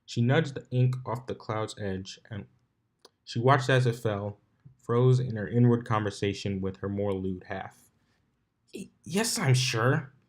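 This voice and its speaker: A man's voice: on the low side, steady tone, a little slow, darkish tone. A man reading a story aloud as narrator, sometimes stumbling and rereading his words.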